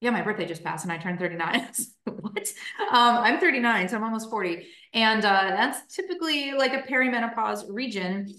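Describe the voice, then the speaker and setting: A woman's voice: a little high, brisk, bright, forceful tone. A woman in her thirties, a strength coach, talking casually and upbeat to her online group, with lots of fillers and tag questions. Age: 30s. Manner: chatty, upbeat, many fillers and "right?". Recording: roomy echo.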